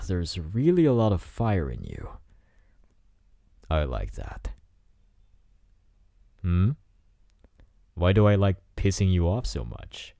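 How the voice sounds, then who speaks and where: Man playing a smug, low-voiced delinquent bad boy. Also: wildly swooping pitch, vocal fry, a little mellow, no echo, clean studio sound, heavily acted. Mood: teasing, softening. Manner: taunting, smug, drawn-out teasing delivery. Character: cocky teasing teenage delinquent bad boy student. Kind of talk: ASMR roleplay.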